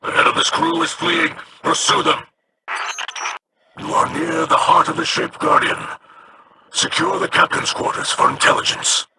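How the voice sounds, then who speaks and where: A man voicing a grave, weary alien pirate captain in a video game, with a gravelly, formal delivery. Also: extremely high pitch, big pitch swings, normal speed, heavily acted. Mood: grave, urgent. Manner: solemn, formal. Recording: noisy game audio.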